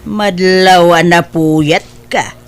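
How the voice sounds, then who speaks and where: A woman with an Ilocano accent playing a gentle, worried mother of grown children in an audio drama. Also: on the low side, fairly even intonation, very slow.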